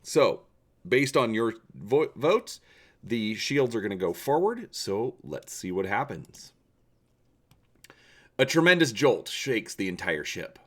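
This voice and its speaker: Low-voiced man, very animated storyteller. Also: slow. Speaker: channel host and story reader. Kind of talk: presenter talk and dramatic storybook narration.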